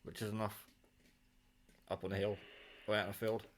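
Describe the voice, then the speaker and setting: A man's voice: on the low side, little pitch movement, a little fast. A man giving a casual hands-on show-and-tell of his hobby kit, offhand and muttering to himself as he works, recorded on a handheld camera. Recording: clear background noise, clicks of handling.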